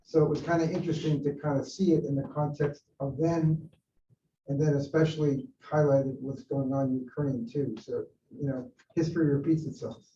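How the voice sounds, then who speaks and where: Older man with a dark voice, echoey room. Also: on the high side, fairly even intonation, normal speed. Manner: reflective, speaks in loose thoughtful phrases. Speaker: discussion participant. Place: meeting room with an in-person group. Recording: echoey, distant, dull room recording with faint noise.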